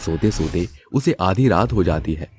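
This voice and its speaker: A man's voice: low-pitched, animated, moderate pace, darkish tone, slight background noise. A man narrating a scripted horror moral tale as a storyteller.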